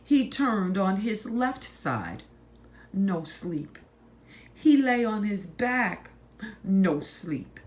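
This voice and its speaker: A woman reading a picture book aloud to children, phrasing deliberately and stressing words for drama. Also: medium pitch, sing-song, darkish tone. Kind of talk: slow, expressive picture-book read-aloud.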